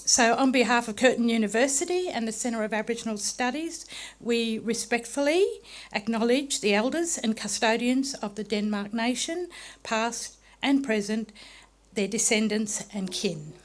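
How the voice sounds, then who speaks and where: A woman's voice: fairly high pitch, moderate pace. A woman lecturer from Darwin in the Northern Territory, introducing herself and giving a formal acknowledgement at a conference. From Darwin, Northern Territory, Australia.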